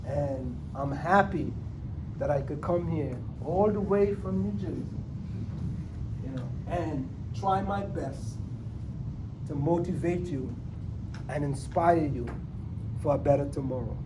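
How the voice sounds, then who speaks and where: A man's voice: a little high, slow pace, pauses often, noisy background. A man in his sixties from Trinidad and Tobago gives a motivational talk to a sales team and keeps checking in with "right?" after his points. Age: 60s. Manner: plays with invented long words. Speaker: motivational speaker. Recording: distant mic in an echoey room.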